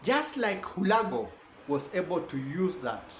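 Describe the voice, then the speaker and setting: A man's voice: high-pitched, very animated, unhurried, reverberant, a little hiss or hum. A man giving a rambling, self-correcting informal lecture.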